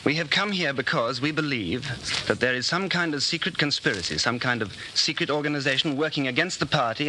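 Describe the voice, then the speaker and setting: A man's voice: medium pitch, lightly acted. Man acting an earnest rebel, noisy vintage radio. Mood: tense, resolute. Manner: intense, grave, declamatory. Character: earnest, fatalistic male rebel, secretly in love. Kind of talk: 1940s radio drama acting. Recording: noisy 1949 radio broadcast recording.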